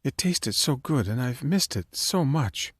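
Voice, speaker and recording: A man's voice: medium pitch, animated, a little slow, slightly rough, no room sound, pitched above the performer's own voice. A man reading an audiobook, voicing a serious, earnest young woman time traveler. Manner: earnest, matter-of-fact, close to tears at times. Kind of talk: audiobook character voice.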